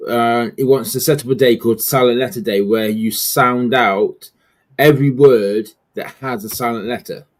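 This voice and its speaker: A man with a British accent chatting casually on a livestream in a relaxed, amused way. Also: mid-range voice, moderate pace. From United Kingdom.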